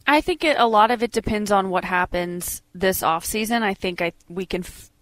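A woman's voice: mid-range voice, normal speed, a bit bright, no room sound. An American woman co-hosting a sports radio show in a conversational talk style.

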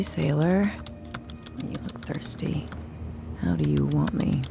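A low-voiced, teasing seductress from an audio drama. She takes her time, flirting with cool sarcasm in a creaky, breathy drawl. Music plays clearly behind her. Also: full character voice. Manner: flirtatious, unhurried, sardonic.

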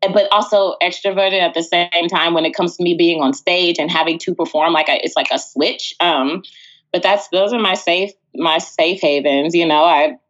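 An American woman with a fairly low voice talks as a guest in a casual interview. She tells her stories chattily and slips into quoted speech. The sound is a little dull and lo-fi. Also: steady tone, normal speed, fairly bright tone. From Texas, United States. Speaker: interview guest, musical theater performer. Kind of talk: casual conversational storytelling.

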